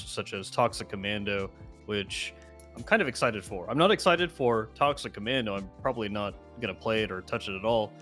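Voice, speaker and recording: A man's voice: lowish voice, fairly bright tone. A man appearing as a guest in a casual gaming chat, talking in an informal, slangy manner. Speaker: guest co-commentator. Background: background music.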